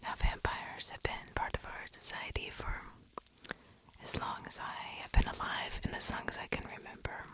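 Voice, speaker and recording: Woman whispering slowly, ASMR style. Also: poor audio. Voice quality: breathy, unvoiced whisper. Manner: lingering, repeats words. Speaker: ASMR video host, true crime narrator.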